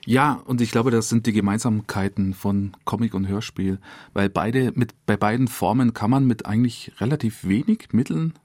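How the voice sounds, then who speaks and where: A man with a lowish voice talks as a radio drama director being interviewed. He explains haltingly, and the studio sound is very dry. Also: darkish tone, no room sound.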